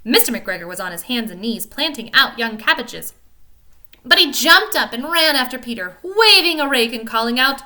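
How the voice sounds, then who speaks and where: A woman's voice: a little high, moderate pace. A woman reads a children's storybook aloud expressively, as if to young children, adding casual asides. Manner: expressive, child-directed delivery.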